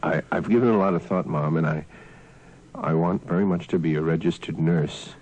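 An actor playing an earnest, nervous son in a soap opera, halting and stammering as he speaks to his mother. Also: rumbling low, a little mellow, breathy edge, rough recording. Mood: suppressed amusement. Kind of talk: soap opera acting, outtake.

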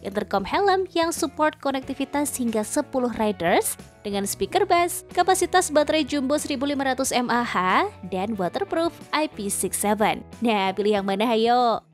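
A woman's voice: fairly high pitch, expressive, moderate pace. A woman reading a scripted promotional product review voice-over in a chatty, persuasive manner. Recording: music bed under studio voice-over. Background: background music.

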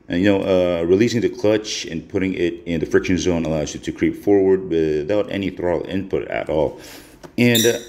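A man's voice: deep voice, a little mellow. A man from the Philippines giving a casual, conversational voice-over review as a motorcycle vlogger. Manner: conversational, informal.

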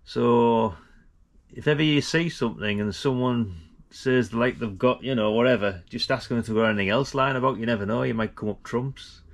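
A man's voice: medium pitch, moderate pace. A middle-aged man, chatting casually and informally as he hosts a vlog. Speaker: vlog host.

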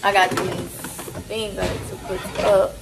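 A woman's voice: medium pitch, little pitch movement, unhurried, creaky. Chatty woman vlogging in an echoey kitchen. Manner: chatty, talks to viewers while washing dishes. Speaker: cleaning vlogger. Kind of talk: casual clean-with-me narration. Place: small apartment kitchen, indoors. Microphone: handheld phone camera, recorded from a distance. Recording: echoey kitchen, distant phone mic, loud background noise.

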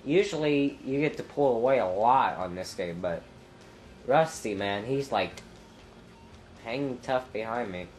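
Slow-talking congested young man. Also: medium pitch, lively intonation, faint music behind. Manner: rambling, thinking aloud with many ums, sudden loud exclamations. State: stuffy nose, congested throat. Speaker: gameplay commentator. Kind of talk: casual let's-play commentary.

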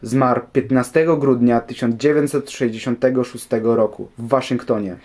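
Mellow-voiced young man, scripted history talk. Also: mid-range voice, fairly even intonation, normal speed. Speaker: guest speaker in a history audio programme.

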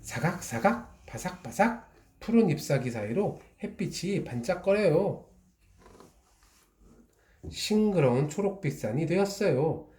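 A father reading a children's storybook aloud, in a slow, gentle, drawn-out way. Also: a little high, very animated, a little hiss or hum. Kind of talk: storybook narration. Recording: echoey room.

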